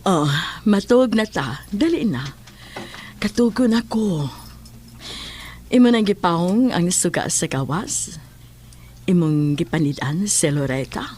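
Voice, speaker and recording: Woman acting slow, coaxing, amorous wife. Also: on the low side, expressive, dark tone, a little hiss or hum. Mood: bossy. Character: amorous, bossy wife at bedtime. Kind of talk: horror audio drama acting.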